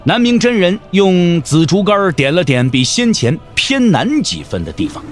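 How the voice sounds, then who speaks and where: A man's voice: sits high, very animated, normal speed, soft background music. A man narrating a wuxia audio drama in a dramatic, scripted storytelling style.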